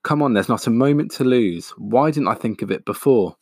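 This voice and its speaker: A man's voice: medium pitch, animated, normal speed, a little mellow, slightly rough, dry recording, clean studio sound. A man voicing a clever, caring father fox in a storybook reading, firm and commanding. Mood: urgent.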